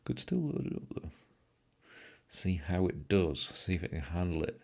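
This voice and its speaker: A man's voice: low voice, big pitch swings, normal speed, gravelly, darkish tone. A middle-aged British man hosting a casual live stream, joking and greeting viewers by name as he edits photos, a bit tired. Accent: British English. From Britain. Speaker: livestream host. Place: home studio room.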